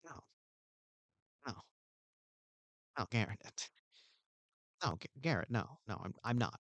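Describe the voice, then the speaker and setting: A man's voice: medium pitch, very animated, slow, fairly bright tone, no echo, lo-fi sound, heavily acted. A man playing an insecure, self-important security guard who rambles and stammers. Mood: defensive. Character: insecure pompous male mall security guard. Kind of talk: improvised comedy scene.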